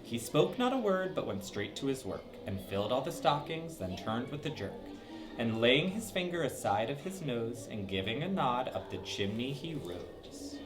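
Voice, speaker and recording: Lively man reading a story to kids. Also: mid-range voice, moderate pace, over music, rough recording. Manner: lively, sing-song, with dramatic swoops. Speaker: storybook reader for children. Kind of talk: reading a rhyming story aloud.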